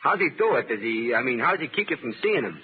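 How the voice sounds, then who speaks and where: An actor playing a rough, jumpy, talkative American henchman in 1930s radio drama style. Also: mid-range voice, sing-song, fast talker. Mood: uneasy. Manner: slangy, questioning, edgy. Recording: faintly noisy, narrow-band 1930s radio.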